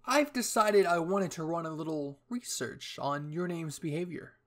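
An excitable, effusive female military scientist, acted out by a male story reader. The voice is pushed high and delivered theatrically, full of drama. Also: moderate pace, lightly acted. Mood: cheerful, eager.

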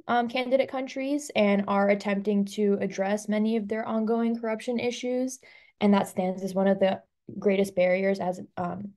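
A woman's voice: mid-range voice, warm tone. A young adult woman from Albania, a student giving a webinar presentation over a video call, talking casually with plenty of "um" and "like" fillers.

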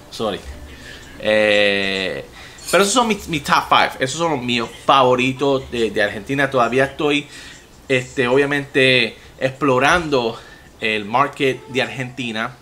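A man's voice: medium pitch, slight background noise. A young man with a Puerto Rican accent hosting a casual music reaction vlog from his room at home, talking with big gestures and a lot of swearing. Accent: Puerto Rican Spanish. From Puerto Rico. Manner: animated, profane, gestures a lot while talking. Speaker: music reaction video host. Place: home room with TVs and gaming gear, indoors.

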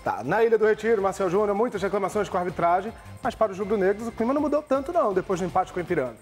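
A man hosting a sports studio show in a chatty, excited way. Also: high-pitched, very fast, soft background music. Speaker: studio host.

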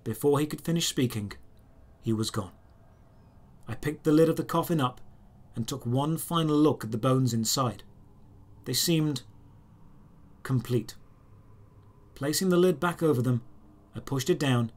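A man with a voice a little higher than average narrates a dramatic adventure story in the first person. He delivers it as scripted audio drama, in short, measured phrases with deliberate pauses.

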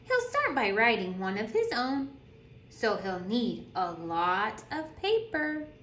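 Female read-aloud teacher for picture books, very animated intonation, addresses young listeners, noisy room recording. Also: fairly high pitch. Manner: deliberate storytelling with questions to young listeners. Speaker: teacher reading aloud to children. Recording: roomy echo with clear background noise.

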